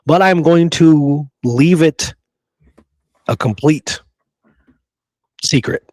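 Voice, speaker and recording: A man's voice: fairly high pitch, extremely slow, darkish tone, no room sound. Man co-hosting a podcast, dry sound. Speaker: podcast co-host. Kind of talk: casual podcast chat.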